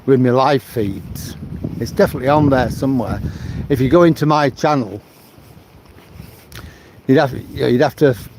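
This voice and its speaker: A man's voice: medium pitch, moderate pace, pauses often. A man in his seventies from Manchester with a Mancunian accent, chatting on a live stream and greeting viewers by name as he walks by the sea. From Manchester, England. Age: 70s. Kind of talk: casual live-stream chat reading viewer comments. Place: seaside promenade outdoors at night. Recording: loud wind noise on the mic. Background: wind and sea noise.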